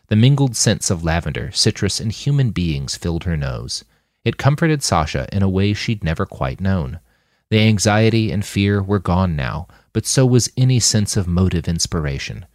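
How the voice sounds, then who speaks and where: A man's voice: deep voice, no echo, clean studio sound. A man reading scripted fiction as an audiobook narrator. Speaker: author narrating his novel.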